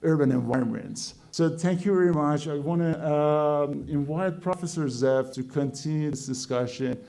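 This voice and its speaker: Halting man giving a conference talk. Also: fairly high pitch, gravelly, warm tone. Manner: halting, many fillers and restarts. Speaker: conference speaker. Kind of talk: conference presentation. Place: conference hall.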